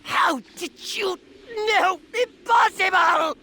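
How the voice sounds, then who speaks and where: A man playing a sadistic, arrogant alien tyrant in an anime game dub, haughty and theatrical, with a shrill gravelly voice. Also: takes it slowly, shouting, heavily acted. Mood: furious, desperate. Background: game music.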